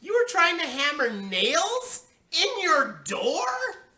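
Woman performing an angry storybook mother, raised sharp voice, scolding, exasperated, roomy sound. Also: very high voice, wildly swooping pitch, slow, voice pushed up, exaggerated voice. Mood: furious, scolding. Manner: shouting, scolding.

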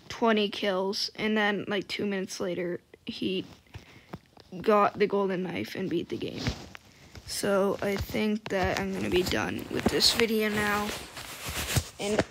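Woman, quiet and scratchy, loose trailing-off game chatter; gravelly tone over a noisy recording. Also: medium pitch. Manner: quiet, soft-spoken, trailing off. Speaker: gaming video creator. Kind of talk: rambling casual commentary over gameplay.

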